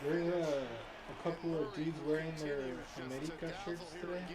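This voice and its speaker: A young man with a fairly high voice chats in a relaxed, slangy way. A loud crowd roars behind him the whole time. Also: normal speed. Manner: laid-back slangy talk, filler "like" and "bro". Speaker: co-host. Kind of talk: casual chat while watching a game.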